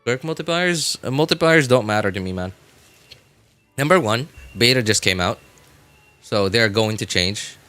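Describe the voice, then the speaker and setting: Bright, crisp low-voiced male game streamer. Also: lively intonation, moderate pace, no room sound, faint music behind. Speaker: video game livestreamer. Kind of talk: casual gaming stream commentary, chatting with viewers.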